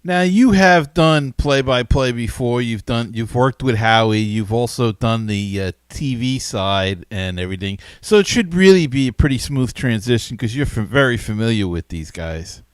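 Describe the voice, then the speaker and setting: A man with a medium-pitched, very clear voice hosts a casual sports interview. He feels his way into questions with some repeated words, and the sound is dry and close. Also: lively intonation, normal speed, few pauses, no echo. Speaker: podcast host, interviewer.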